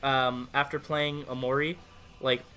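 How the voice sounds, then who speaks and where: A man's voice: medium pitch, flat delivery, a little slow, pushed and bright, clean tone. A man co-hosting a podcast, chatting informally and enthusiastically about video games. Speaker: podcast co-host. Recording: music playing behind the talk. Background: background music.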